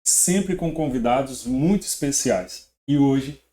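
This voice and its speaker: A man hosting a podcast, opening the show with an upbeat, deliberate spiel. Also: mid-range voice, lively intonation, dark tone. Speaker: podcast host.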